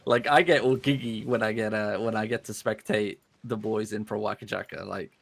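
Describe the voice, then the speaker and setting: Gravelly guy casting a pvp fight live, chill and chatty, headset mic. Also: fairly low pitch, moderate pace. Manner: relaxed, chatty, laughs easily. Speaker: esports caster. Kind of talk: live play-by-play commentary.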